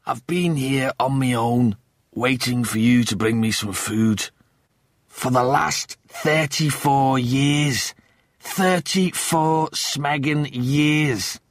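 A weary castaway in his sixties, voiced by a male narrator in a space comedy audiobook. He speaks slowly in gruff, terse grumbles, pitched above the storytelling voice, in a dry studio sound. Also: no room sound. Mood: bitter. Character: gruff, weary castaway man aged about sixty. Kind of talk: audiobook character acting.

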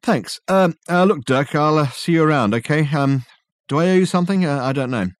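A man's voice: on the high side, a little fast, no echo, clean studio sound, pitched above the performer's own voice, lightly acted. A man voicing a weary, harried young man who hesitates with ums and uhs.

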